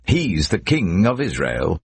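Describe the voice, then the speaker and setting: A man voicing a scornful mob member with slow, drawn-out jeers in a dramatised scripture reading. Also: low-pitched, wildly swooping pitch, husky, no room sound, voice pushed up, full character voice. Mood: mocking. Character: scornful mob of jeering men at an execution.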